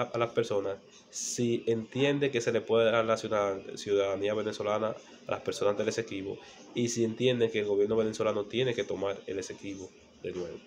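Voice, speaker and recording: Low-voiced Dominican man explaining history. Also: darkish tone, a little hiss or hum. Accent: Dominican Spanish. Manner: didactic, with rhetorical questions and repeated phrases. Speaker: presenter of a geopolitics channel. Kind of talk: explanatory history monologue to camera.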